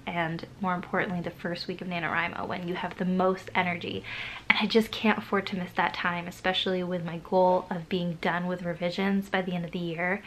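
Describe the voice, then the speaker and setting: A woman's voice: medium pitch, a bit bright, a little hiss or hum. Stuffy-nosed young woman vlogging. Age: young adult. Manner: chatty, rambling, self-deprecating. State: stuffy from a cold. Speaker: writing vlogger. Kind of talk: casual chat to camera.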